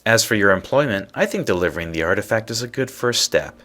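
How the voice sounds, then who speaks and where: An actor voicing a courteous, businesslike gentleman in a sci-fi video game, polite and formal. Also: fairly low pitch, expressive, fast talker. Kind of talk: scripted video game voice acting.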